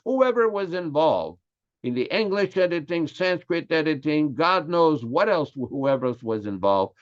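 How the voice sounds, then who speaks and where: An older man from Quebec with a Québécois French accent, French his first language, giving an earnest, emphatic class on scripture. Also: fairly high pitch. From Quebec, Canada. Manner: earnest and emphatic, stressing key words slowly. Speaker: religious class speaker. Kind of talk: lecture on scripture. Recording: slightly dull lo-fi audio.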